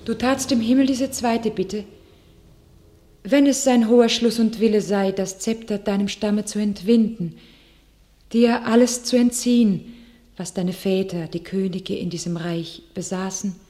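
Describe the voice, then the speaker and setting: A woman's voice: medium pitch, fairly even intonation, unhurried, warm tone, natural voice. Actress as devout young shepherd girl w/ visions, solemn declaiming, radio play verse, fervent and resolute. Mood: solemn, prophetic. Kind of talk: classical German radio play acting.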